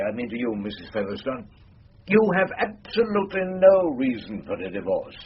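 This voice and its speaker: Husky, declamatory advocate. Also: male voice, a little high, muffled recording. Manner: formal, declamatory, slightly fumbling. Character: pleading, pompous male advocate arguing formally. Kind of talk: British radio drama acting.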